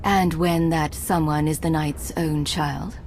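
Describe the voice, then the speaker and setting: Low-voiced, composed female commander. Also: steady tone, takes it slowly, darkish tone, a little hiss or hum. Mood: calm, probing. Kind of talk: dramatic video game dialogue.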